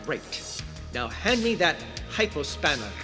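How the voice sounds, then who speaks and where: An actor playing an irritable, sardonic scientist in an audio drama, exasperated and sarcastic. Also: male voice, a little high, very animated, a little slow. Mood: condescending. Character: irritable sardonic male scientist working on dangerous experiments. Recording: long reverb, music underneath.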